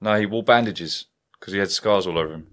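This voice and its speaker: A man's voice: on the low side, a little fast. A man, hosting a casual chat in a wood-panelled study and swearing freely as he rambles through stories. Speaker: podcast host.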